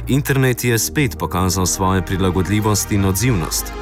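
A man reading a scripted news voice-over in an even delivery. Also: low voice, fairly quick, over music. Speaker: news narrator.

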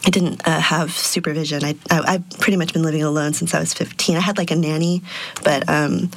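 A woman's voice: low voice, level tone, brisk, creaky. A woman in her thirties, an artist born in Peru and raised in Texas, answering interview questions in a reflective way with many fillers. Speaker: sound artist and DJ.

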